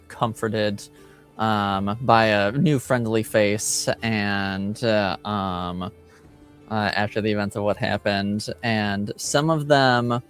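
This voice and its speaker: A man improvising narration as game master of a tabletop roleplaying game, halting as he searches for words. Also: on the low side, smooth.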